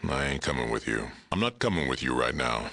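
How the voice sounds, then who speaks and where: A hulking super mutant from a video game, world-weary and stern. His extremely deep, gravelly voice cuts through brightly and forcefully. He speaks in measured, blunt, dry phrases. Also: big pitch swings, exaggerated voice. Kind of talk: video game character dialogue.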